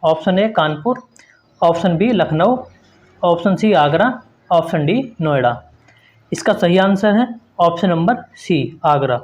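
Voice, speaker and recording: High-pitched man teaching a quiz lesson. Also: lively intonation, measured pace. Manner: instructive, repetitive emphasis. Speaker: exam-prep teacher. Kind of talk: quiz lesson, reading questions and explaining answers.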